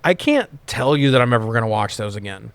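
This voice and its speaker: Male co-host, medium pitch, informal and wordy, thinks out loud in casual discussion, very little room sound. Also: animated, fast, smooth, no room sound. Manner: rambling, informal, swears casually. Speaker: podcast co-host reviewing movies.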